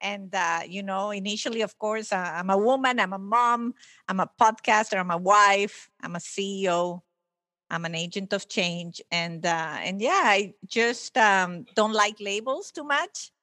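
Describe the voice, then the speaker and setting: A woman from Venezuela talks as a podcast guest, friendly and chatty, often restarting her sentences. Her voice is bright and forward. The sound is dry, with no room echo. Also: mid-range voice, measured pace. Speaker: podcast guest, company CEO. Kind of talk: casual interview talk.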